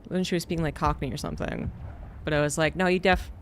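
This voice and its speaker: A man's voice: on the high side, fast talker, slight background noise. A man streaming a game, chatting casually and rambling with lots of fillers. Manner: rambling, relaxed, many fillers. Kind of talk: casual gameplay chat.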